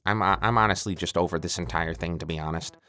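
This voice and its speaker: A man's voice: deep voice, fast talker, sharp tone, clean tone. A man playing a self-pitying, petulant evil mad scientist in a comedic audio skit, stammering and melodramatic. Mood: deflated, bitter. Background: creepy music.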